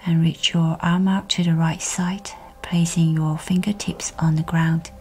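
A woman with a slightly low voice leads a guided meditation very slowly. Her delivery is soft and breathy, often close to a whisper, and soothingly measured. Music plays behind her. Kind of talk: guided meditation, scripted narration. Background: background music.

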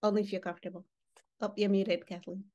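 A woman's voice: medium pitch, quick pace, highs cut off. A woman in her thirties, who grew up in Uganda, leading an online workshop on a video call and talking directly to the participants. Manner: animated, gestures while speaking, engages participants directly. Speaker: workshop facilitator. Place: home room with wall baskets. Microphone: webcam on a video call.

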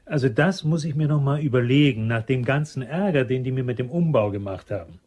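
An actor playing a self-important, chatty shopping center manager in a German crime radio drama, jovial and official in manner. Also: male voice, medium pitch, expressive, fairly quick, a little mellow, lightly acted. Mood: businesslike, jovial.

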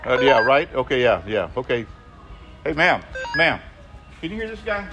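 A man's voice: medium pitch, sing-song, moderate pace, highs cut off, music in the background. An older American man talking spontaneously as a customer in a store. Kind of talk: animated spontaneous talk. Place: hardware store aisle.

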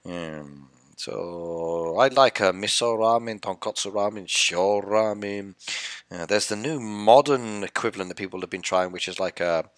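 A man's voice: fairly low pitch, a bit bright, dry recording, studio quality. A middle-aged man chatting casually on a game live stream, playfully reading and answering chat. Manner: chatty, playful, reads chat aloud and teases viewers. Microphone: headset with desk mic.

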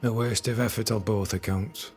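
An actor playing a despairing, fatalistic fort captain in a video game, speaking in formal, doom-laden phrases with bitter grandeur. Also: male voice, on the low side, steady tone, a little mellow, faint music behind, close to an everyday voice. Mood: bitter fatalism. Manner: slow, grim, doom-laden formal speech. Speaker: military officer character in a video game. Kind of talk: dramatic video game acting.

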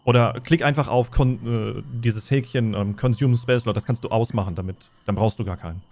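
A man runs an online tabletop role-playing game as game master. His medium-pitched voice moves between narration and rules explanation. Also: fairly quick, a little mellow.

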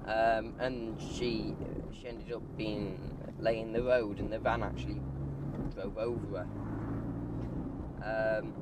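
Slow, halting man over loud car noise. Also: on the low side, little pitch movement. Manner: halting, hesitant, self-correcting. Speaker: vlogger. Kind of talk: casual car vlog monologue while driving. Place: inside a moving car. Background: car and road noise.

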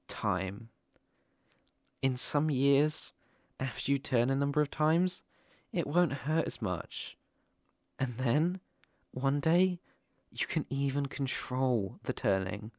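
Slow, soothing British male werewolf played by a man. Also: mid-range voice, no room sound, studio quality. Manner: soothing, unhurried, tender. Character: gentle, protective male werewolf mentor, calm and reassuring. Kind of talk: ASMR roleplay acting.

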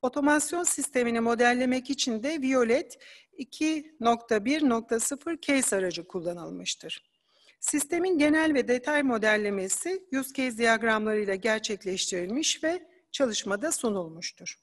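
A woman giving an academic conference presentation, explaining slides in a measured way with occasional hesitant fillers. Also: on the high side, animated, big-room sound.

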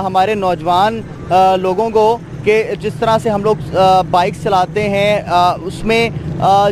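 A young man from Pakistan hosting a street interview vlog, talking in a halting way with many fillers into a handheld mic. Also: sits high. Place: busy roadside outdoors. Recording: outdoor street with traffic noise.

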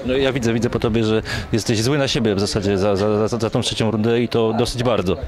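A man's voice: fairly low pitch, steady tone, quick pace. A young man interviewing a fighter with a handheld mic, asking fluent, leading questions. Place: event hall before a sponsor wall, in doors. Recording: crowd noise behind the voice.

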